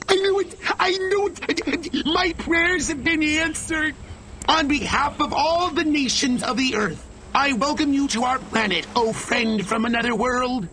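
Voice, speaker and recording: A man's voice: very high-pitched, unhurried, pushed and bright, slight background noise, full character voice, poor audio. A man playing an eccentric human crackpot who worships aliens in a video game, formal, effusive and reverent. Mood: ecstatic.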